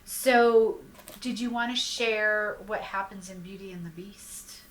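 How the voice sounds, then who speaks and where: A woman's voice: medium pitch, measured pace, a little hiss or hum, rough recording. A woman hosting a conversational podcast discussion, speaking in an engaged, guiding manner.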